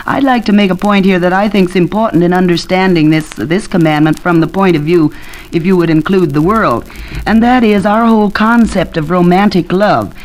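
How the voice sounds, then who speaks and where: A woman's voice: fairly low pitch, normal speed, darkish tone, poor audio. An American woman speaking as an academic expert in a 1940s radio panel discussion, explaining points with examples.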